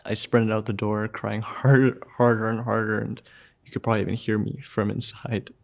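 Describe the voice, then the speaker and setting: A young man telling his own story in a short film, soft-spoken and halting, with pauses and fillers. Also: fairly low pitch, flat delivery, vocal fry. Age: young adult. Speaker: student filmmaker narrating his own story. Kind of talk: personal narration for a short film.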